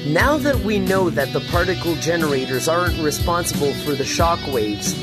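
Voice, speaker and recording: A woman's voice: low-pitched, lively intonation, moderate pace. A woman reading scripted dramatic narration for a sci-fi radio serial. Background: loud music with guitar.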